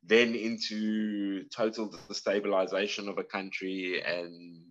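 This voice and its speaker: A man's voice: fairly low pitch, level tone, a little slow, highs cut off. A man with a South African accent, a guest explaining things earnestly in a casual interview, often restarting his sentences. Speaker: guest bladesmith.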